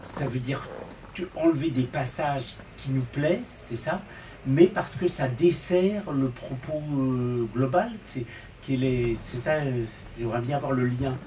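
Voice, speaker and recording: Man in audience, mellow voice, curious and halting, picked up from afar. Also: mid-range voice. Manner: curious, searching for words. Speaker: audience member. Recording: distant mic with some noise.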